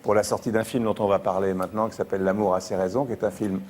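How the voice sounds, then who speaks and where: A man hosting a live television talk show, introducing guests and the film briskly. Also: lowish voice, level tone, a little mellow. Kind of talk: live TV presenting. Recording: live studio with audience noise.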